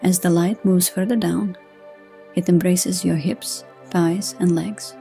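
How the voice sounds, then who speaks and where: A woman reading a scripted sleep hypnosis session in a gentle, hushed, soothing manner. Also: on the low side, steady tone, slow, vocal fry. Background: soft new-age music.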